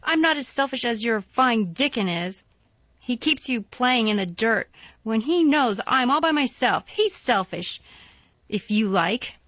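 A woman's voice: fairly high pitch, normal speed, gravelly, a bit bright, dry recording. Lady doing a bossy sickly little boy, whiny and demanding, kinda muffled dry audio. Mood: petulant. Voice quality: petulant and demanding. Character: sickly, spoiled, self-pitying young boy. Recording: dull, dry, lo-fi sound.

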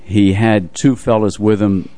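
An older man co-hosting a radio show, casually telling anecdotes about music in an unhurried way. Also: on the low side, fairly even intonation, dark tone.

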